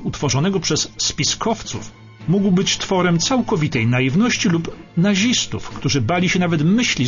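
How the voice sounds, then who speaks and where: A man's voice: on the high side, dull audio. A man delivering scripted historical documentary narration for radio. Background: clear background music.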